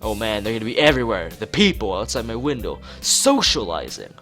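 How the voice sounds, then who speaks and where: A man's voice: lowish voice, sing-song, brisk, a bit bright. A man voicing a paranoid young male student in a visual novel, agitated and dramatically complaining. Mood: despairing. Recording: music playing behind the voice.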